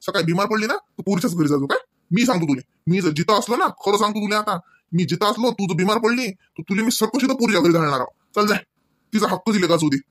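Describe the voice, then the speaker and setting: A man's voice: sits high, expressive, quick pace, fairly bright tone, dry recording, studio quality. A man playing a cranky older village husband in a Marathi comedy cartoon, with a rural Maharashtrian accent, hectoring his wife with rhetorical questions. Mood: scolding, exasperated. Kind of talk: comic cartoon dialogue, domestic argument.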